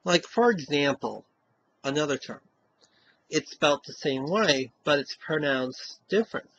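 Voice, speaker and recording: A man's voice: fairly high pitch, expressive, measured pace, a bit bright, lo-fi sound, slight background noise. A man with a Western Massachusetts accent, talking casually to camera in a rambling way, often tacking on "you know?". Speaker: vlogger.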